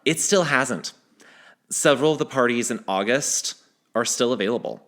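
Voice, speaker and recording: A man hosts a casual podcast and reads out the latest news. His voice sits at an ordinary middle pitch, and he talks in a loose, teasing way, often trailing off mid-thought and starting again. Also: expressive, moderate pace, a bit bright. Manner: conversational, teasing, trails off and restarts. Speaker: podcast host.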